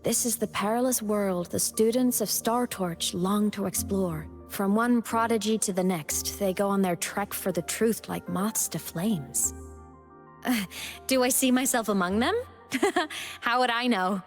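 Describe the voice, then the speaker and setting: A woman voicing a young student turned digital ghost in a video game, cheerful yet wistful and devoted, with a soft, earnest delivery. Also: mid-range voice, measured pace, soft background music, lightly acted. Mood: solemn, then playful. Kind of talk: scripted video game character monologue. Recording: long reverb on the voice.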